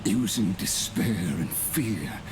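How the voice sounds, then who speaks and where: An actor voicing a weary, regretful immortal warrior in a video game, solemn and formal with halting phrases. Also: male voice, fairly low pitch, animated, background noise. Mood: grave. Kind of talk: English game voice acting.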